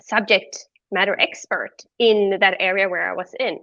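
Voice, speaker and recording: A Swedish-accented woman with a bright, forceful voice answers interview questions openly and candidly. The sound is dry and slightly muffled, like call audio. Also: medium pitch, fairly even intonation, normal speed, vocal fry, no room sound. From Sweden. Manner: open and candid, laughing easily. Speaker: guest, entrepreneur.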